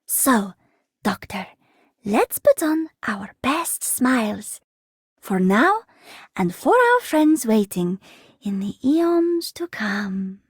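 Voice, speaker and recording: A woman's voice: on the high side, very animated, slow, husky, no room sound, studio quality, full character voice. A woman voicing an earnest, hopeful, tender young woman in a video game. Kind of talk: video game character voice lines.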